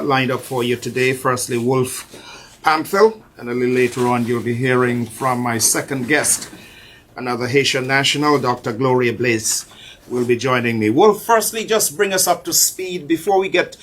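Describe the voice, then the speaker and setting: A man hosts a radio talk show, speaking at a measured pace and stressing his points by repeating them. His voice sits at a medium pitch. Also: a bit bright. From Anguilla.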